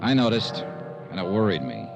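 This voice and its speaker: A man's voice: fairly low pitch, very animated, normal speed, vocal fry, lo-fi sound, over loud music. An actor playing a wry, cool-headed male insurance investigator in an old-time radio detective drama, speaking and narrating in a dry, clipped, matter-of-fact way. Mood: wry, guarded.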